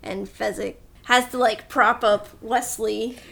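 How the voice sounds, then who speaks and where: Female podcast host, highish and creaky, recapping films casually and jokily. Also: steady tone, slow pace, a bit bright. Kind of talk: casual movie recap chat.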